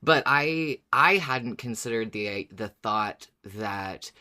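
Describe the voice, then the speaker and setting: A co-host speaks in a casual podcast discussion. The delivery is agreeable and thoughtful, with points built up gradually in halting phrases. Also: medium pitch, expressive, bright, forceful tone.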